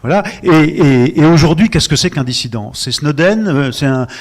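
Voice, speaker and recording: Modérateur hésitant d'un débat littéraire. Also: male voice, a little high, expressive, normal speed. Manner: hesitant, many fillers and restarts. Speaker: moderator. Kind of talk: moderating a literary panel.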